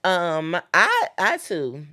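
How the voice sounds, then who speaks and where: A woman's voice: lowish voice, wildly swooping pitch, extremely slow, pushed and bright. A young woman hosting a podcast, talking earnestly about faith and dreams and repeating phrases for emphasis. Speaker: podcast host. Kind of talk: casual faith-based chat.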